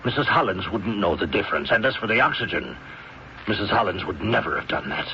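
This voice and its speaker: An actor playing a grave, resolute doctor making accusations in a measured 1970s radio mystery style. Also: male voice, lowish voice, lively intonation, vocal fry, sharp tone, full character voice. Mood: firm accusation. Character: grave, resolute male doctor confronting a killer. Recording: muffled, noisy 1970s radio recording.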